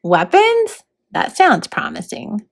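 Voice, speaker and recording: A woman's voice: medium pitch, huge pitch swings, takes it slowly, vocal fry, full character voice. A woman voicing a plucky, wry young schoolgirl heroine with a casual, questioning manner in a storybook read-aloud. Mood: sceptical, wry.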